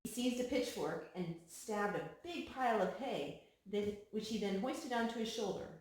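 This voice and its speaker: A woman reading a picture book aloud to young children, pausing to explain things to them. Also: medium pitch, lively intonation, darkish tone. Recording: very echoey room with some noise.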